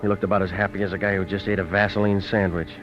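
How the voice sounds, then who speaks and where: An actor playing a tough, wisecracking, cynical private eye, terse and deadpan, in an old-time radio detective drama. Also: male voice, low voice, rapid-fire, over music, close to an everyday voice. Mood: wry narration. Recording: dull, noisy old radio recording.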